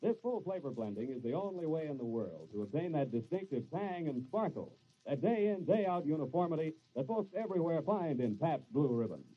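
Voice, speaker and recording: A man's voice: fairly high pitch, big pitch swings, brisk, hardly any pauses. A man announcing and reading scripted ads in 1940s radio style. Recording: muffled noisy old radio recording.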